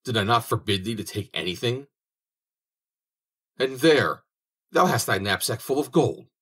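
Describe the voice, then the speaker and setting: A man's voice: medium pitch, lively intonation, unhurried, fairly bright tone, husky, voice pushed up. A man voicing a stern holy saint who scolds in old-fashioned speech, in an audiobook reading.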